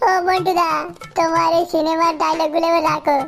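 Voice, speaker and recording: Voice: extremely high pitch, level tone, fast talker, creaky. An actor playing a pert, eager village youngster in a cartoon. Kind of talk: Bengali cartoon acting.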